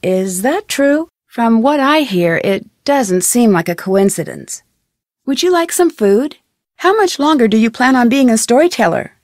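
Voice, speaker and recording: Curious young woman detective, fairly high voice. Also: lively intonation, a little slow, no room sound, studio quality. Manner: inquisitive, polite, clearly enunciated. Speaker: game protagonist. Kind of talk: scripted video game dialogue.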